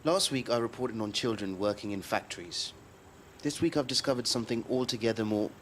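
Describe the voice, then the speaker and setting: Actor as an earnest British radio journalist, faint hiss. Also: male voice, on the low side, a bit bright, natural voice. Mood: grave, troubled. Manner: earnest, halting. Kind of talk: recorded diary in audio drama.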